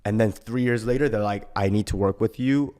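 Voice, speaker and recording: A man's voice: on the low side, fairly quick, dark tone. A man from Korea who later moved to Canada, talking casually and emphatically as a podcast guest, often checking in with a tagged-on question. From born in Korea, later moved to Canada. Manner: emphatic, often tags sentences with "right?". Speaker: personal trainer and boxing coach, podcast guest.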